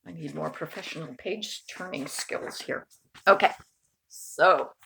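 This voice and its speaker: A woman reading a children's picture book aloud in an expressive storytelling manner, with informal asides. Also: sits low, measured pace, gravelly, noisy background. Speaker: storybook reader.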